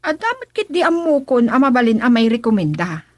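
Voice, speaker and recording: An actress playing an outspoken, lively village council member in a rural comedy radio drama. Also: female voice, on the high side, fairly quick, dry recording, studio quality. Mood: enthusiastic.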